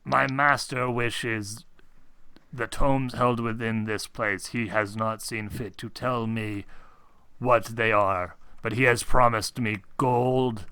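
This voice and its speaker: A man's voice: mid-range voice, fairly even intonation, sharp tone, clear, resonant tone, no echo. A man voicing a brainwashed demon servant who speaks formally and obediently of its master. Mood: stiffly obedient. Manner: formal, measured phrasing.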